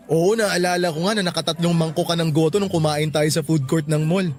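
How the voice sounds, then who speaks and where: Casting: male actor for devoted young boyfriend, slightly high voice, earnest and emotive, radio drama with music underneath. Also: brisk, a little mellow, lightly acted. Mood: playful, affectionate. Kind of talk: Tagalog radio-style drama acting.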